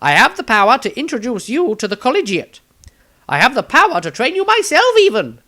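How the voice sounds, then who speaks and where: Man putting on an excitable, high-pitched pompous wizard. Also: fairly quick, heavily acted. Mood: boastful. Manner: pompous, exclamatory. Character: eccentric self-important male wizard, excitable and pompous. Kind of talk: storybook character voice.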